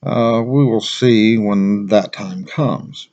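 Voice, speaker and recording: A man giving a casual product review in a plain-spoken, halting, conversational way. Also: fairly low pitch, darkish tone, highs cut off.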